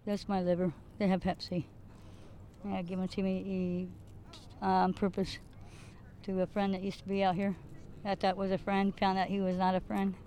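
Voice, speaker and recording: A woman's voice: medium pitch, completely flat delivery, moderate pace, warm tone, a little hiss or hum. A woman from California speaking plainly and matter-of-factly in an interview. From Ventura, California. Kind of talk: interview testimony.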